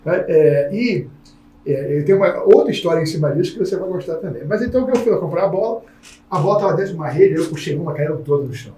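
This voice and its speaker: A man hosting a casual podcast conversation, a lively storyteller who acts out the people in his anecdotes. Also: on the high side, fast, slight background noise. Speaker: podcast host. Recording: roomy sound from a distant mic.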